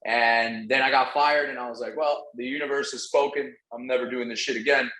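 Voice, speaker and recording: A man's voice: mid-range voice, steady tone, brisk, bright, forceful tone. A man whose first language is Spanish, telling his story as a casual, slangy podcast guest who swears freely. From Venezuela. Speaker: podcast guest, real estate entrepreneur. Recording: roomy, echoing sound.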